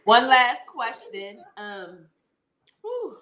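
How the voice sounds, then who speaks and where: A woman's voice: a little high, big pitch swings, very slow, very noisy. A woman speaking conversationally as an interviewer, recorded with roomy echo. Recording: roomy, echoey room sound.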